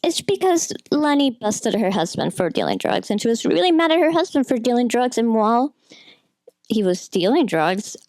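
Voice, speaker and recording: A woman's voice: a little high, sing-song, moderate pace, darkish tone, dry recording, studio quality. A woman giving an informal, opinionated film critique in a rambling, conversational way with frequent asides. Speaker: video essayist, film critic. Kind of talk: informal film critique commentary, partly scripted.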